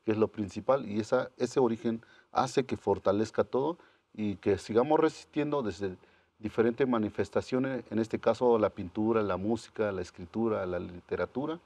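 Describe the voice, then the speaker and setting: Soft-spoken young man, low voice. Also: normal speed, no room sound. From Oaxaca, Mexico. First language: Mazatec. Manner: calm, searching, with many fillers. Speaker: guest artist. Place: indoor TV studio set. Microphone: lapel.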